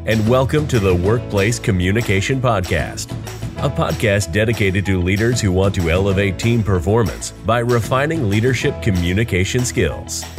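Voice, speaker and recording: Low-voiced man announcing over guitar. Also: normal speed. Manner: polished announcer delivery. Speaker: podcast announcer. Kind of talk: scripted intro.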